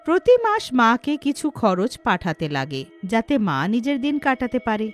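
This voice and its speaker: High-voiced woman narrating a story.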